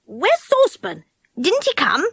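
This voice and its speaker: A woman voicing a bold, inquisitive magical fellow in a children's storybook, brisk and questioning, with a creaky, rough voice. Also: very high voice, wildly swooping pitch, fairly bright tone, no echo, studio quality, pitched above the performer's own voice, full character voice. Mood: curious.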